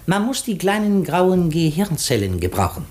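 An actor playing a vain, shrewd, courtly master detective in a 1950s German radio play, precise, theatrical and pompous. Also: male voice, high-pitched, very animated, moderate pace, a little mellow. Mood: self-assured.

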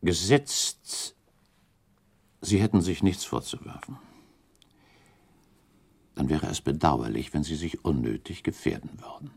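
Casting: shrewd count for radio drama, bottomless pitch, widely swinging melody, deliberate diplomatic phrasing. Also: male voice, creaky, darkish tone, breathy edge, full character voice. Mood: measured, diplomatic. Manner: deliberate, hypothetical reasoning, formal and pointed. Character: shrewd, calculating count advising a prince, diplomatic. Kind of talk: German radio drama acting.

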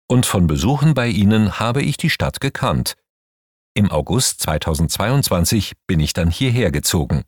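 Romanian-born man, lively measured reading. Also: lowish voice, dry recording, studio quality. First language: Hungarian. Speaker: language teacher. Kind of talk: first-person account read for a learning audio.

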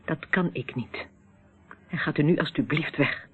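A woman's voice: sits low, measured pace. An actress playing a polite, evasive married woman in a radio play, hesitant and defensive in manner. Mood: uneasy, defensive. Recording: muffled, narrow-band old radio recording.

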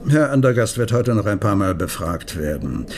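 A man's voice: fairly low pitch, big pitch swings, normal speed, a little mellow, a little hiss or hum. An actor playing a wry, officious male police investigator in a radio crime drama, explaining step by step. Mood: matter-of-fact.

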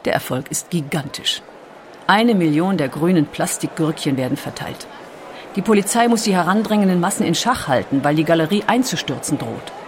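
A female narrator with a slightly low voice delivers a scripted documentary. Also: lively intonation, fairly quick, a little hiss or hum.

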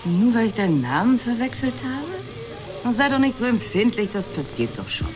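A woman's voice: a little high, very animated, moderate pace, music in the background. A woman acting in a German radio play as an easygoing, coaxing lover, teasing and offhand. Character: tipsy, easygoing, coaxing woman on a casual tryst.

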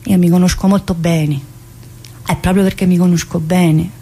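A woman's voice: lowish voice, little pitch movement, normal speed, mellow, breathy edge. An actress playing a chatty, restless middle-aged woman in prison, teasing and earthy, in a theatre monologue. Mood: bitter. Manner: teasing, earthy, full of fillers and laughs. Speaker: stage actress in a one-woman play.

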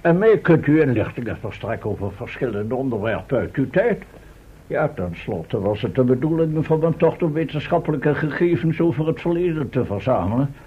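A man's voice: mid-range voice, animated, brisk, husky. A man playing a vain, fussy professor in a radio play, speaking formally and pompously on an old, muffled recording. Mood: earnest. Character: vain, fussy male professor, time-travelling scientist hungry for fame. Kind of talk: vintage Dutch radio drama acting.

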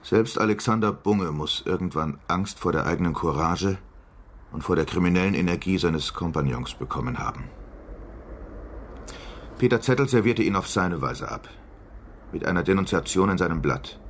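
Low-voiced male investigative reporter. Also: lively intonation, moderate pace, slight background noise. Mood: sober, accusatory. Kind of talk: scripted narration in a radio drama.

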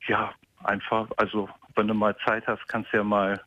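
A man's voice: lowish voice, monotone, normal speed, pushed and bright, dry recording. Cheerful man in his fifties on a dull phone line. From Portugal. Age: 50s. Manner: cheerful, laughs often, a bit scattered. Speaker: caller.

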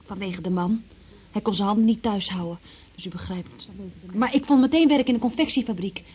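A woman's voice: mid-range voice, brisk, a little mellow, husky, muffled recording, natural voice. An actress playing a naive, anxious young working-class woman accused of theft, plaintive and artless, in a Dutch radio drama. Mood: plain, explaining.

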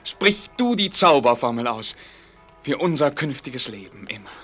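An actor playing an earnest, romantic young prince in a radio drama, speaking in a lofty, fervent way. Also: male voice, mid-range voice, very animated, normal speed, a bit bright, faint music behind. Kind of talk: German radio drama acting.

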